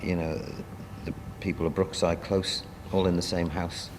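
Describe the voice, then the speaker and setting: A man speaking as a playwright and producer in an interview, reminiscing in a halting, conversational way. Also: low-pitched, flat delivery, long reverb tail, background noise.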